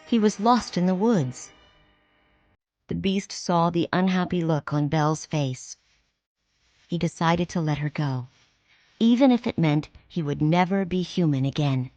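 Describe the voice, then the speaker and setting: A woman narrating a children's read-along storybook in a clear, expressive way. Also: fairly low pitch, no room sound. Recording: music playing behind.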